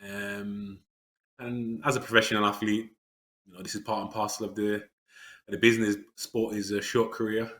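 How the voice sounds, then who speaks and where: A man, talking earnestly in an interview. His voice sits low but has a bright, forceful edge. He pauses on fillers and restarts his sentences often. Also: little pitch movement. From England. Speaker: interview guest. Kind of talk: reflective conversational interview.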